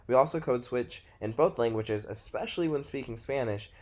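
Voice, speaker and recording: A man's voice: mid-range voice, normal speed. A nineteen-year-old man from Texas with a Texas American accent, narrating a scripted presentation as a student. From Texas, United States.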